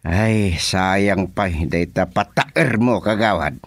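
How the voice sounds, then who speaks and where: An actor playing an excitable, complaining village man in an Ilocano radio comedy, exclamatory and with an Ilocano Filipino accent. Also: low-pitched, slow, slight background noise. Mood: disappointed, complaining.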